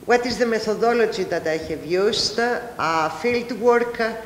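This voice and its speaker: A middle-aged woman with a Greek accent, a native Greek speaker, giving an academic panel presentation. She speaks haltingly, with frequent filler pauses. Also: on the high side, slight background noise. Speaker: university lecturer presenting research. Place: large ballroom, panel table. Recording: echoey hall with long reverb.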